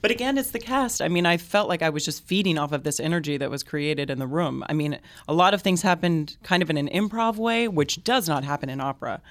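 A woman's voice: sits low, animated, fast talker, few pauses, no echo. A young woman, a mezzo-soprano, chatting enthusiastically in an interview and laughing often between rambling asides. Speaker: opera and concert singer, guest. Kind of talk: casual interview chat.

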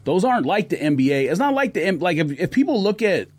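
A man's voice: high-pitched, sing-song, fast, no room sound. A man co-hosting a podcast, debating sports casually in slangy, dismissive, argumentative talk. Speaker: podcast co-host.

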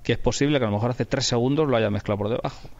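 A man's voice: medium pitch, rapid-fire, gravelly, darkish tone. A man with an accent from Spain explains his work casually in an interview, emphatic and often repeating phrases to stress a point. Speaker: radio drama producer, interviewee. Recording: dull, lo-fi sound.

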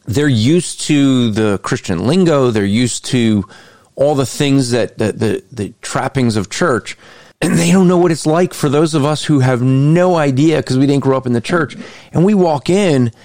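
A man's voice: mid-range voice, lively intonation, a little slow, a little mellow, no echo. A man hosting an interview podcast, talking in an earnest, explanatory way. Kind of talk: conversational interview.

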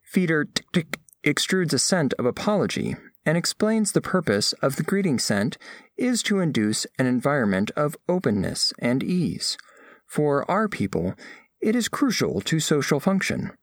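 A man's voice: a little high, very animated, moderate pace, no echo, studio quality. A man playing a formal, curious alien scholar who narrates an audio drama in precise, clinical wording. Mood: formal curiosity. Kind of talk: scripted first-person story narration.

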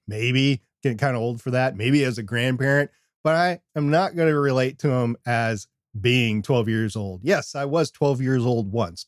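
An older North American man co-hosting a podcast in a casual, self-deprecating way, with animated rambling asides. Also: mid-range voice, no room sound, clean studio sound. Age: older, over 50. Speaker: podcast co-host. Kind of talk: casual discussion with examples.

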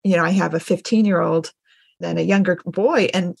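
A woman's voice: fairly low pitch, fairly even intonation, normal speed, creaky, darkish tone, breathy edge, dry recording, studio quality. A middle-aged woman, a homeschooling mother, chatting casually as the interviewer in a conversation.

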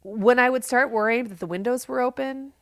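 A woman in her forties co-hosting a podcast, telling stories in a loud, animated, projecting voice. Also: a little high, fairly quick, no echo, clean studio sound. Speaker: podcast co-host. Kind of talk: casual chat.

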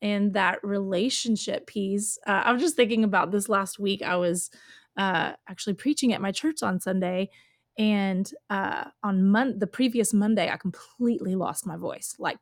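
A woman's voice: mid-range voice, dry recording, studio quality. A woman hosting a faith podcast, telling a personal story in a casual way with lots of "like". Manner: warm storytelling, frequent "like" and affirming murmurs. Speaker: podcast host.

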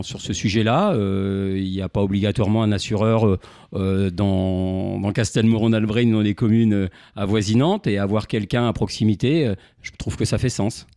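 Man, fifties, from Normandy, lowish voice, interview guest, hesitant, word repetitions, dry sound. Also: warm tone, smooth, no room sound. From Normandy, France. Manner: hesitant, halting, repeats words while searching. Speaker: interview guest, insurance broker. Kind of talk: conversational interview answers. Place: indoors, radio studio.

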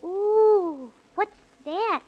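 A woman's voice: extremely high pitch, drawn-out words, highs cut off, over loud music, heavily acted. A woman playing a polite, curious six-year-old boy in a small childlike voice, in 1930s radio acting style. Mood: polite, puzzled.

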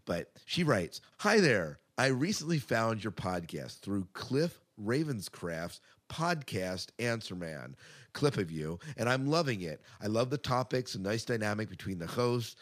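Man, lowish pitch, podcast host, casual, chatty, upbeat announcer touch. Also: sing-song, measured pace. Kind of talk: casual scripted podcast chat.

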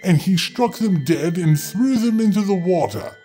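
A man's voice: sits high, animated, normal speed, mellow. A man narrating a fairy tale as a storyteller, theatrical and impish in his delivery. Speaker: storyteller, host. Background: music.

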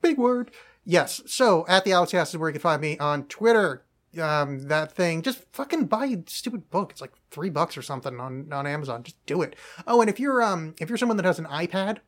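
A man's voice: a little high, fairly bright tone. A young man hosting a casual podcast chat, rambling and self-mocking, swearing and laughing at his own jokes. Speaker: podcast host.

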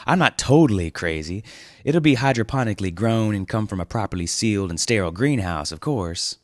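A man voicing a relaxed, adventurous male office worker who talks in a teasing, drawn-out way. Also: fairly low pitch, no room sound, studio quality, lightly acted.

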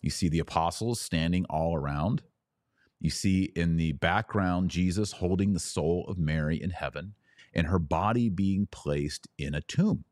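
A man hosting a podcast, giving an emphatic theological teaching talk with rhetorical questions and dramatic pauses. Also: sits low, darkish tone. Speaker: podcast host.

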